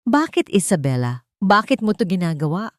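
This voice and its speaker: A woman's voice: fairly low pitch, very animated, moderate pace, no room sound, studio quality. A woman playing a trembling, pleading heroine. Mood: frightened, pleading. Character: frightened but defiant poor young working woman. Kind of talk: dramatic audio story acting.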